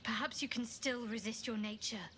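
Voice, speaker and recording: Guarded, higher-voiced female adventurer. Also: fairly even intonation, moderate pace, sharp tone, a little hiss or hum. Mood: guarded, encouraging. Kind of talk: video game acting.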